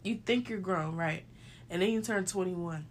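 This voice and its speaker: A woman's voice: fairly low pitch, normal speed. A woman in her late twenties chatting casually with a friend on a podcast. She laughs often and slips into little acted-out quotes as she tells her stories. Faint noise sits behind her voice. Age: 20s. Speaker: podcast co-host. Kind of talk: casual chat.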